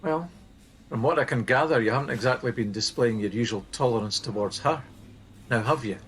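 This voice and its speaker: A man's voice: mid-range voice, fairly quick, a bit bright, a little hiss or hum, lightly acted. A man playing an earnest, tactful but insistent supervisor in a naturalistic radio play. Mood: firm. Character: earnest, firm male supervisor of a helpline team.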